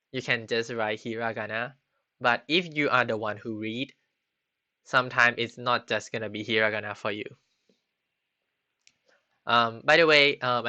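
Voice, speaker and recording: A man teaching a beginner Japanese class on a live stream, halting often with ums and restarts. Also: medium pitch, sharp tone, clean tone, dry recording. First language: not Japanese. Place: home computer setup. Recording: slightly dull lo-fi stream audio.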